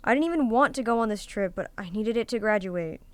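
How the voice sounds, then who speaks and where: A clean, close, dry recording of a bitter young student played by a woman in an audio drama. The delivery is sardonic and argumentative, in a smooth, clear medium-pitched voice. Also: expressive, quick pace, no echo, lightly acted. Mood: bitter, apologetic. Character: bitter, pessimistic young college student named Walter, stranded and sarcastic. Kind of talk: amateur audio drama acting.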